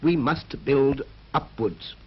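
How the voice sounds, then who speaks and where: A man's voice: medium pitch, slow, lightly acted. A British man narrating a 1950s educational cartoon in an instructive manner with clipped phrases. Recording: dull 1950s film soundtrack with music.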